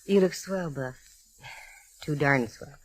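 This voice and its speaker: A woman's voice: low-pitched, no echo. An actress playing a self-assured, wry, flirtatious female private detective in a 1940s radio drama. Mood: wistful, teasing. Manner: wry, flirtatious, quick with quips. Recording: noisy old radio recording.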